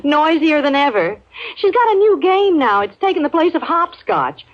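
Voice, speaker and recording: An actress playing a polite, mildly puzzled housewife and mother in a 1950s radio drama, genteel and gently inquiring. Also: high-pitched, big pitch swings, normal speed. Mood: polite, sociable. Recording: muffled vintage 1950s radio recording.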